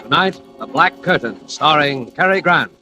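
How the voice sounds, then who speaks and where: A man's voice: on the high side, lively intonation, moderate pace. A man announcing and hosting a 1940s radio show in a formal, scripted style. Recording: old radio broadcast with music.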